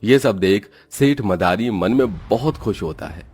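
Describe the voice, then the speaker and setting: Low-voiced man narrating a cartoon story. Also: lively intonation, measured pace, darkish tone, husky.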